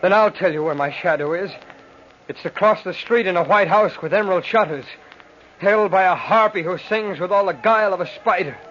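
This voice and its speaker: A man's voice: high voice, brisk, a bit bright. A man playing a haunted, hot-tempered young nobleman in an old radio horror drama, theatrical and intense. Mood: bitter, demanding. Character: haunted, raging young nobleman obsessed with his lost shadow. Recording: dull, noisy old radio recording.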